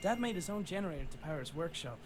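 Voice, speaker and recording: A man's voice: a little high, lively intonation, rapid-fire, slight background noise, lightly acted. An actor playing a wry, reflective father searching for his own dad, narrating an adventure game in the first person. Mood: musing. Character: adult son and father, wry inventor's child searching. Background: game music.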